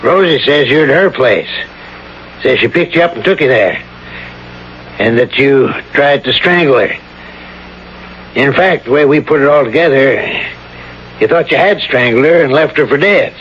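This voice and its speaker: An actor playing a folksy, insinuating police chief in a vintage radio drama, questioning bluntly in colloquial phrases with sly pauses. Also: male voice, medium pitch, animated, natural voice. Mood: interrogating. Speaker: actor in a radio mystery play. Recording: dull vintage radio broadcast, faint noise.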